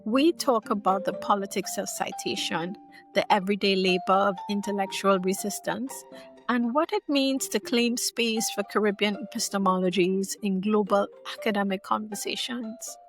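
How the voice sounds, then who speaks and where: Earnest woman podcast host over soft music. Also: medium pitch, steady tone, normal speed. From Trinidad. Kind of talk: scripted introduction then casual conversation.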